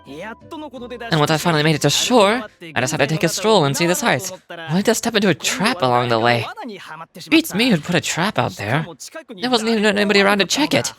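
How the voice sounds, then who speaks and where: An actor voicing a revived ancient ruler in a boy's body, wry and easygoing, in dramatic video game dialogue with a deliberate delivery. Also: medium pitch, sing-song. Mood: wry, lighthearted. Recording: game music behind the voice.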